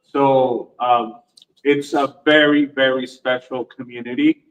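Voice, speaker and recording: A man's voice: mid-range voice, flat delivery, slow, distant mic, poor audio. A man who manages a co-working space answers interview questions hesitantly, with many fillers.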